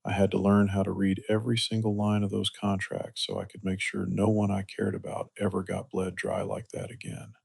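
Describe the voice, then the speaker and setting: An actor as a gravelly, low-voiced protective romantic hero. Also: male voice, monotone, darkish tone, no room sound, heavily acted. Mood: grave, wounded. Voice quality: husky, gravelly. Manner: earnest, reassuring, measured. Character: protective, chivalrous romantic hero, a wealthy finance man. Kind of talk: romance audio drama dialogue.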